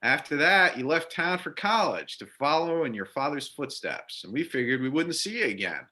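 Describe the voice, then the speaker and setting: A man's voice: fairly high pitch, big pitch swings, normal speed, sharp tone, voice pushed up. A man playing a burly, affable biker bartender and war veteran, folksy and blunt, in an amateur readers theater. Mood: hearty, friendly.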